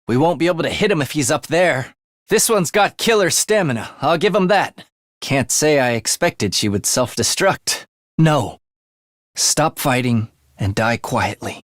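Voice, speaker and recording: A man's voice: mid-range voice, no room sound, close to an everyday voice. A man voicing a sly young assassin in a video game, with a dry, sardonic wit and a theatrical, teasing manner. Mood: urgent, commanding, then cool, calculating. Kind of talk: video game character voice lines.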